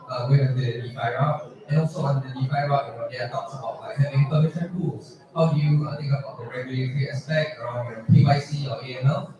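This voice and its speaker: A man talking as a panelist in an unscripted discussion at an event. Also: mid-range voice. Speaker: panelist, partnerships lead. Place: event hall panel with audience. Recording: very echoey hall, distant, crowd murmur.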